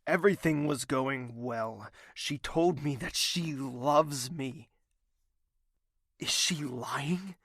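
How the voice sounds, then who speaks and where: A man's voice: medium pitch, takes it slowly, a bit bright, husky, dry recording, clean studio sound, full character voice. A man playing a lovesick, stubborn young prince in an audio drama, earnest, formal and melodramatic. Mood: anguished, wounded.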